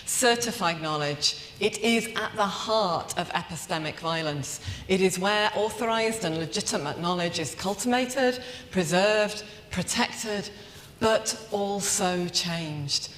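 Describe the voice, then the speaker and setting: A woman giving an academic conference lecture, measured and emphatic, building lists of repeated phrases in a large hall. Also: fairly bright tone. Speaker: academic plenary speaker. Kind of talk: conference lecture, rhetorical and reflective. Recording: echoey lecture hall, faint rumble.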